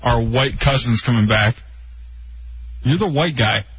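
A man co-hosting a call-in radio show, teasing the host with dry, deadpan jabs. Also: mid-range voice, a little slow, slightly rough. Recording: slightly muffled radio broadcast.

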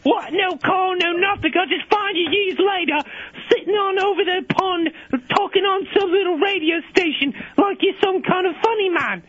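A man voicing a brash, crude London woman calling a radio show, loud and insulting in a high falsetto. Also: normal speed. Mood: cheeky. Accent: put-on London. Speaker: prank caller. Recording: dull radio broadcast.

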